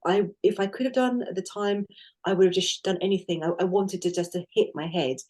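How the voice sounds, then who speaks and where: A woman's voice: medium pitch, brisk, a little mellow. A middle-aged woman co-hosting a podcast, talking in an earnest, reflective way, often restarting her sentences. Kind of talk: casual conversational podcast.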